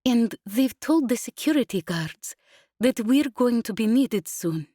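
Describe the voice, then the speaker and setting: Woman playing a high-pitched, earnest female guard. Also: animated, unhurried, mellow, no room sound, studio quality.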